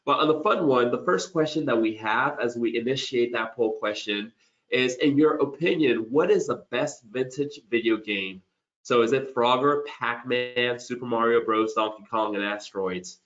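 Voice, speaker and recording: A man hosting a corporate webinar, a little hoarse as he loses his voice, talking conversationally with frequent restarts. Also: mid-range voice, moderate pace, a bit bright, dull audio. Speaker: webinar host.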